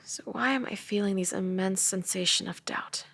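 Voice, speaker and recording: A woman playing an earnest, exasperated detective with a sharp tongue in an audio drama table read. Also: medium pitch, moderate pace, vocal fry. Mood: troubled, pensive. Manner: earnest, blunt, sardonic.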